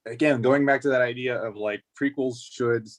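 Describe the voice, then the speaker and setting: A man's voice: mid-range voice, fairly even intonation, normal speed. A man talking casually as a podcast panelist, working through his points in a hesitant, circling, analytical way. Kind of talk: casual discussion of a TV series.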